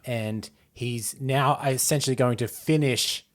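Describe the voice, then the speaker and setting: A man's voice: medium pitch, fairly even intonation, measured pace. A man co-hosting a casual chat, prompting and reacting in a laid-back, joking manner. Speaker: podcast co-host.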